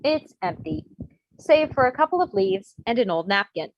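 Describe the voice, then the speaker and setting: A woman narrates screenplay directions for a comedy table read. Her voice is fairly high and husky with lively swings of pitch, and the audio is slightly muffled. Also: moderate pace. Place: remote virtual table read. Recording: muffled video-call audio.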